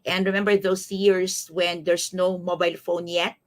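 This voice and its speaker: A woman's voice: fairly low pitch, level tone, normal speed. Filipino woman in her fifties, coaching live. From Philippines. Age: 50s. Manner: repeats phrases, checks in often with listeners. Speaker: life coach, host of a live series. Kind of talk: motivational live-streamed coaching talk.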